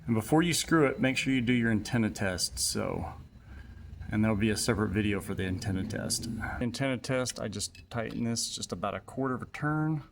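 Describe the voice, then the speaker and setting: A man's voice: fairly low pitch, animated, a little fast, creaky. A man narrating a casual how-to as an installer, explaining informally step by step while he works. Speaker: installer giving a tutorial. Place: in and around a utility vehicle in a garage or shop. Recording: noisy workshop ambience behind voice.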